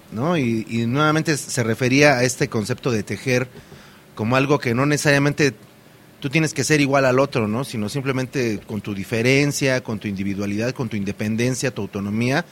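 A man's voice: mid-range voice, slight background noise. Hesitant man hosting radio. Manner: hesitant, many fillers and restarts. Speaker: radio program host. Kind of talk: community radio talk.

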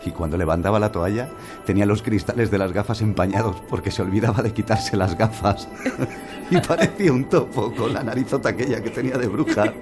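An actor playing an easygoing, stubborn, talkative husband in a naturalistic radio drama, persuasive and fond of tag questions. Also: male voice, lowish voice, fairly quick, over music, close to an everyday voice. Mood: amused, nostalgic. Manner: chatty, persuasive, tags remarks with questions.

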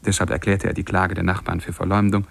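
An actor playing a lovestruck young scholar who tells his own story in measured, lyrical German radio-play style. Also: deep voice, little pitch movement, vocal fry, dry recording. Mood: fond, measured.

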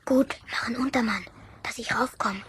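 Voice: moderate pace, background noise, close to an everyday voice. A performer playing a cocky, vengeful Bavarian schoolboy in a children's radio play, with a childlike voice and a brash, goading manner. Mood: hushed, daring. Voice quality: high childlike voice.

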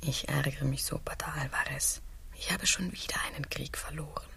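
An actress playing a lonely, petulant queen confessing coyly in a satirical radio play, in a hushed, breathy murmur. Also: sits low, steady tone, normal speed, whispered, heavily acted. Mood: petulant, plaintive.

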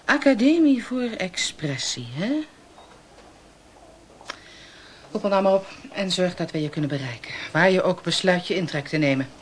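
An actress playing a brusque police detective in a radio drama, with a dry, sarcastic, blunt way of talking. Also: female voice, fairly low pitch, expressive, fairly quick, slight background noise, lightly acted. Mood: dry, sardonic. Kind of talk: naturalistic Dutch radio play acting.

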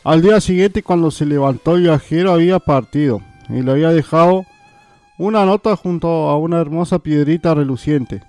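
A man's voice: fairly high pitch, brisk, a little mellow, soft background music. A man reading scripted narration aloud as a narrator.

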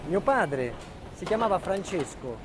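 An actor playing a proud, burdened builder, telling his life story in an earnest, deliberate theatrical monologue. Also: sits high, very animated, background noise. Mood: proud, nostalgic. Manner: earnest, deliberate, emphatic pauses. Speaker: lead actor and narrator. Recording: long hall reverb.

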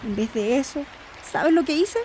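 Woman acting nostalgic wife, high voice. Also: animated, normal speed, darkish tone, a little hiss or hum. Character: nostalgic wife reminiscing, teasing her husband. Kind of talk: radio drama acting.

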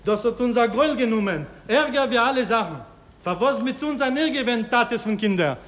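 Very high-pitched distressed male witness. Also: animated, brisk, a bit bright. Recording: echoey courtroom-like room.

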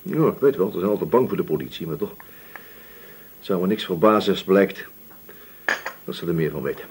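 A man acting a thoughtful, suspicious police inspector in a radio drama, speaking hesitantly with musing pauses. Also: low-pitched, animated, a little mellow, slight background noise.

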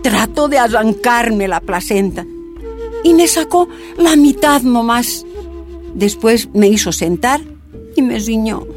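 A woman's voice: fairly high pitch, animated, slow, soft background music. A woman playing a wounded prisoner who tells her ordeal plaintively in a radio drama. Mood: weary, sad. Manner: plaintive, tender storytelling. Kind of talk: first-person radio drama narration.

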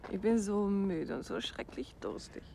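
A playful, curious wife, played by a woman in an old radio play. She asks question after question in a bright, teasing way and speaks at a mid pitch. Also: normal speed, vocal fry, a little hiss or hum, lightly acted. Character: Steve, detective's witty wife. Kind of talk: 1950s radio drama acting.

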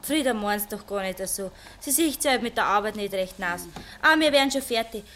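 An actress playing a plucky, plain-spoken young country kitchen maid with a Bavarian accent in a 1950s radio play. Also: female voice, mid-range voice, lively intonation, a little fast, bright, forceful tone. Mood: eager, soothing. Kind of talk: 1950s German dialect radio play acting. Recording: noisy 1950s radio recording.

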